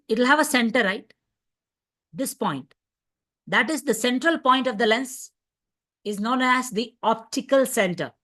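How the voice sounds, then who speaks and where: A woman's voice: a little high, fairly bright tone. A woman with an Indian accent lecturing as a physics teacher in an online revision class, repeating points and often checking understanding. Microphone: online video call class.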